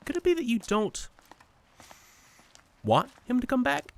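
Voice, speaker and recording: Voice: mid-range voice, wildly swooping pitch, measured pace. An actor playing an uncannily cheerful entity that poses as a best friend, overly friendly, coy and needling, in an audio drama. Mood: sly, calm.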